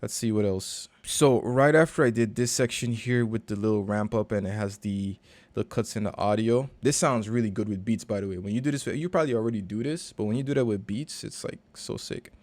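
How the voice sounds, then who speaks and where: A man, a recording and mixing engineer, casually walking through a session in a relaxed, slangy, conversational way. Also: on the low side, fairly quick, no echo. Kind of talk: casual screen-share walkthrough.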